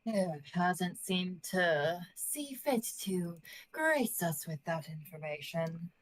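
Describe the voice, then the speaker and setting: A woman's voice: lowish voice, big pitch swings, slow. A woman taking part in a tabletop game as a player.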